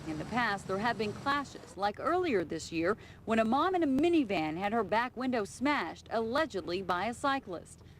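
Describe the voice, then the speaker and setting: A woman's voice: a little high, very animated, normal speed, hardly any pauses. A woman reporter reading a scripted narration for a television news report. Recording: clear traffic and street noise.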